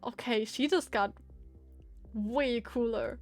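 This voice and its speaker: High-pitched woman streamer, hesitant and casual. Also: takes it slowly, soft background music. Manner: hesitant, thinks aloud. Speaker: streamer reading a visual novel. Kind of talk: casual livestream commentary and read-aloud narration.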